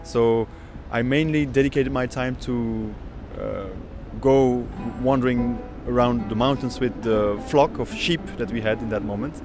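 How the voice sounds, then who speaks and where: A man's voice: mid-range voice, a little slow, hardly any pauses. Reflective man in his thirties over music. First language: Dutch. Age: 30s. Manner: thoughtful, searching for words with many fillers. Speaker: oud player. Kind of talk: reflective documentary interview. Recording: music playing behind.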